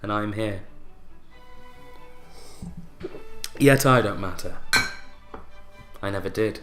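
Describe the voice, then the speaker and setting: An actor playing a bitter, broken widower in a radio play monologue, brooding and theatrical, with deliberate pauses. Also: male voice, on the low side, music in the background, close to an everyday voice. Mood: resigned, wounded. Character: bitter, broken widower recounting his unhappy marriage.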